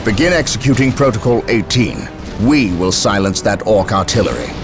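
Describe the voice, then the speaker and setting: An actor playing a stern, resolute armored space marine captain in a video game, giving formal, authoritative orders. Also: male voice, lowish voice, expressive, normal speed. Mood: commanding, urgent. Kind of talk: dramatic game cutscene acting and mission briefing. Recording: loud music behind.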